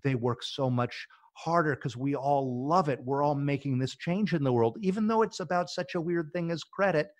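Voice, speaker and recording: A man's voice: fairly high pitch, normal speed, hardly any pauses, dry recording. A middle-aged man, a business founder, talking eagerly as a podcast guest and often restarting his words. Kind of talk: casual interview talk.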